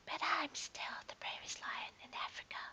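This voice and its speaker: A woman's voice: normal speed, bright, forceful tone, whispered. A woman voicing a plucky, boastful little male lion cub in a storybook, bold and self-important. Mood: shaken but proud.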